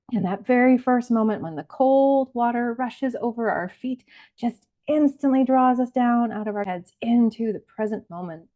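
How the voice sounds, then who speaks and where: A woman leading a guided visualization as a relaxation guide, speaking in a soothing, unhurried way. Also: medium pitch. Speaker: laughter yoga leader, meditation guide. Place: live online or group session recording. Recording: band-limited, phone-like sound.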